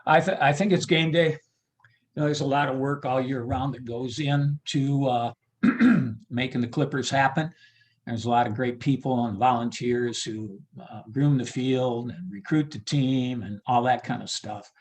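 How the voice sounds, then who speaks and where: A man with a medium-pitched voice speaks as an interview guest about his work as a ballpark announcer. His delivery is casual and halting, as he thinks out loud. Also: fairly even intonation. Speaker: baseball PA announcer being interviewed.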